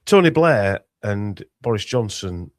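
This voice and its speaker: A man's voice: lowish voice, sing-song, unhurried, dry recording, studio quality. A man with a Yorkshire accent talking at length as an author guest in an interview, with many fillers and restarts. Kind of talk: conversational interview.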